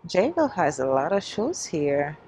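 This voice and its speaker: A woman's voice: low-pitched, big pitch swings, measured pace, darkish tone. A woman casually chatting to viewers as she browses in a large store, musing aloud as a shopping vlogger. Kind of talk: casual shop-with-me vlog chat. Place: inside a large shoe store. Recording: faint background store noise.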